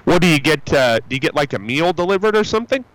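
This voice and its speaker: A man hosting a radio call-in show, talking in a loose, folksy ramble with plenty of ums and restarts. His pitch swings up and down as he talks. The sound is close and dry. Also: sing-song, fast, fairly bright tone, no room sound, poor audio. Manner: rambling, chatty, with many fillers and restarts; upbeat and folksy. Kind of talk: casual call-in chat. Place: radio studio.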